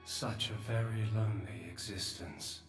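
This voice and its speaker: Slow, echoing, world-weary old creator. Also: male voice, fairly low pitch, fairly even intonation, creaky. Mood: solemn. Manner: solemn, measured, philosophical. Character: solemn, remorseful, world-weary godlike old male creator. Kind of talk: scripted video game drama. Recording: roomy long reverb, distant, faint music.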